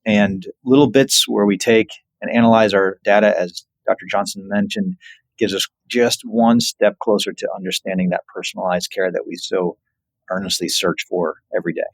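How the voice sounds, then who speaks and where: A man speaking as a physician researcher in an interview, earnest and emphatic while explaining findings in measured phrases. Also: fairly low pitch, fairly even intonation, no room sound, poor audio. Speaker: physician researcher, podcast guest.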